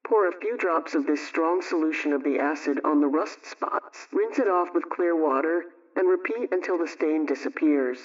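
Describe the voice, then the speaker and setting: A man reading scripted household advice and recipes in a measured, instructional way, like a 1920s radio programme host. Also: on the high side. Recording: dull, distant vintage radio sound.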